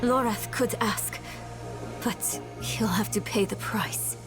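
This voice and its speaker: A woman's voice: mid-range voice, slow pace, music in the background, lightly acted. An actress playing a wary young female mage in a game cutscene. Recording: game score behind.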